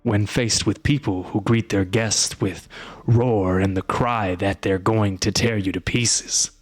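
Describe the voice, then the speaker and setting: Man playing a calm, terse hunter. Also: on the low side, slow pace, soft background music. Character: calm, guarded male monster hunter.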